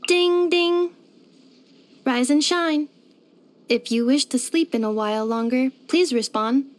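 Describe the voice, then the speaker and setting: Female robot helper for a game: high voice, formal, precise, literal-minded, unfailingly polite. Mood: polite, attentive. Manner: formal, precise, clipped system-report phrasing. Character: polite, helpful female robot maid companion, earnest and literal. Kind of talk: scripted video game character voice lines.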